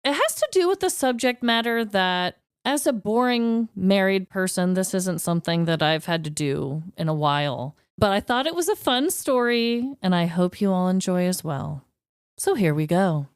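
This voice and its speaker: A young adult woman co-hosting a podcast, chatting casually and telling a story, animated with quick laughing asides. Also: medium pitch, smooth, dry recording, clean studio sound. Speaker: podcast co-host. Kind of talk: casual chat and scripted storytelling.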